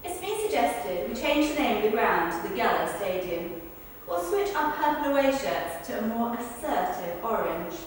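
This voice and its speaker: A woman's voice: a little high, sing-song, moderate pace. A woman performing verbatim theatre monologues, earnestly telling people's accounts in a rehearsal. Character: people recounting a football club's celebrity visit. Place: large reverberant rehearsal room. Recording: echoey hall, distant mic, faint noise.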